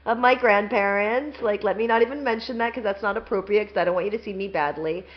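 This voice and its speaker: A middle-aged woman guest talking about her own life, animated and heartfelt, acting out the voices of other people as she tells it. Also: medium pitch, quick pace. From Palestinian, grew up in Saudi Arabia. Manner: animated storytelling, acts out quoted voices. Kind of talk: emotional personal interview talk. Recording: dull lo-fi sound.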